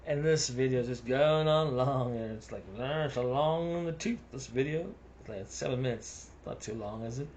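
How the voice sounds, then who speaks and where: A man's voice: medium pitch, moderate pace, hardly any pauses, darkish tone, slight background noise. A man with a South African accent rambling through a casual monologue, chuckling between his thoughts.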